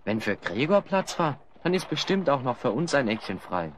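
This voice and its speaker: A man's voice: medium pitch, big pitch swings, normal speed, crowd noise, close to an everyday voice. A man playing a good-natured, teasing, curious adventurer hero with a wry manner in a radio play.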